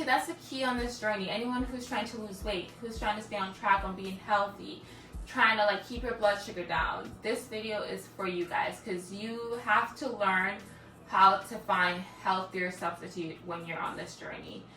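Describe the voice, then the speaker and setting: A woman's voice: mid-range voice, sharp tone. A woman with a Jamaican accent chatting casually as a cooking vlogger, filling her talk with "like" and "guys". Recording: echoey room, distant mic, music underneath.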